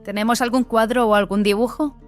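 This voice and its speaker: A woman's voice: fairly high pitch, fairly even intonation, fairly quick, soft background music, lightly acted. A woman playing a sceptical, irreverent young female apprentice in an audiobook drama, dismissive and matter-of-fact.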